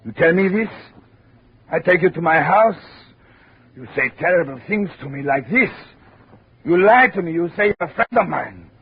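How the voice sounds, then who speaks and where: A man's voice: on the high side, lively intonation, moderate pace, husky, dull audio, heavily acted. An actor in a 1950s radio drama playing an emotional French immigrant workman who speaks emphatic broken English with a French accent. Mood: indignant, angry. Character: warm, excitable French immigrant steelworker, quick to anger. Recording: noisy, band-limited old radio recording.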